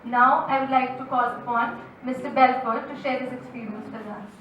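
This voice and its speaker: A woman's voice: sits high, steady tone, normal speed, muffled recording, heavy background noise. A woman hosting a university event, formally reading a prepared introduction of a guest. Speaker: event host. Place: university lecture hall. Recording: echoey hall from far off.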